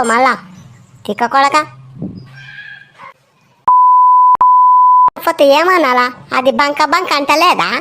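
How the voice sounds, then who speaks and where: A high-voiced, quarrelsome village relative from a homemade cartoon comedy, acted by a woman. The speech is colloquial and confrontational, full of scolding. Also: fairly bright tone, slight background noise. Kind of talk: amateur cartoon comedy dialogue in Telugu.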